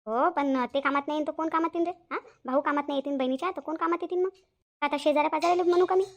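A woman's voice: high-pitched, fairly even intonation, rapid-fire. A woman playing a chatty, aggrieved elder sister on the phone in a Marathi cartoon, with a Varhadi accent and a repetitive, gossipy way of talking. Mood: indignant, complaining. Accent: Varhadi Marathi from Vidarbha. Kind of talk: Marathi cartoon family-drama dialogue.

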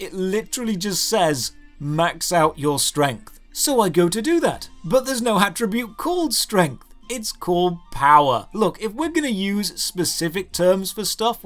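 A man doing scripted comedic game commentary, sarcastic and theatrical in his emphasis. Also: fairly high pitch. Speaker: game reviewer and video host. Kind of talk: scripted comedic commentary over gameplay footage. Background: game background music.

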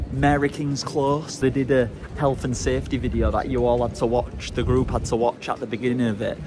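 A cheeky, chatty young man films himself at arm's length as he walks through the town. His voice sits at an ordinary middle pitch. Clear street and traffic noise runs underneath him. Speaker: travel vlogger. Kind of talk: casual vlog to camera. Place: outdoors on city streets. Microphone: handheld camera at arm's length.

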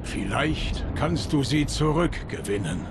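An actor playing a solemn, loyal guardian of time in video game dialogue, formal, deliberate and grave. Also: male voice, a little high, lively intonation, noisy background. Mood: solemn, measured. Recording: music behind the voice.

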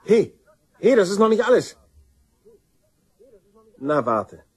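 An actor playing a bitter, aggrieved younger son in a German crime radio play, sarcastic and accusing. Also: male voice, high voice, sing-song, slow pace, darkish tone, exaggerated voice.

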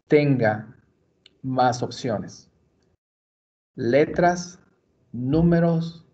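Slow, patient man teaching a screencast. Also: medium pitch, a little mellow. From Mexico. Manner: slow, patient, step-by-step explaining. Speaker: library computer instructor. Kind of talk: screen-recorded tutorial. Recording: dull lo-fi screencast audio.

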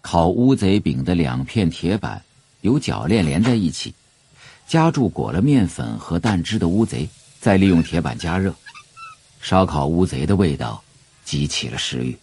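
Deep, mellow male drama narrator. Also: sing-song, moderate pace, breathy edge. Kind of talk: scripted audiobook-style drama narration. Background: faint music.

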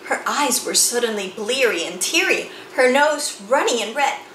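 A middle-aged woman reads a picture book aloud to children, with theatrical, deliberate, emphatic phrasing. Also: on the high side, expressive, bright, forceful tone. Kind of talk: expressive children's read-aloud storytelling. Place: small indoor room with bookshelves and chalkboard. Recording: roomy sound with faint background noise.

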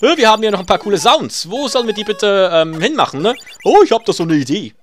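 A man's voice: high-pitched, big pitch swings, fast talker, fairly bright tone. A man giving casual, sarcastic commentary while playing a retro game, swearing when he gets hit. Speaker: let's play gamer. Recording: game music behind voice. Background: faint game music.

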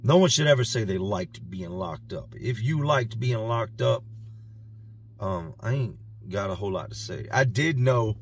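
A man in his thirties with a raspy voice, vlogging bluntly from a parked car. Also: fairly low pitch, a little hiss or hum. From Virginia, US. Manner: blunt, plain-spoken street talk. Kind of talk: casual talking-head vlog. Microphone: phone camera at arm's length.

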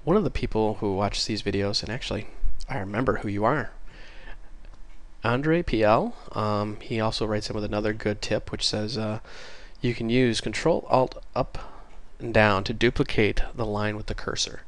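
A man with a congested voice from a cold, casually talking through a coding tutorial while typing, chatty and easily excited. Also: on the low side, moderate pace. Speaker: programming tutorial host.

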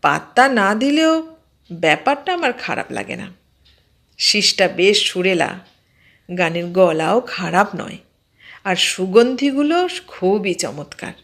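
A woman voicing a mild, stubbornly sceptical man in an audio story, explaining things in a measured, matter-of-fact way. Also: fairly low pitch, very animated, gravelly, fairly bright tone, full character voice. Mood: calm, unruffled.

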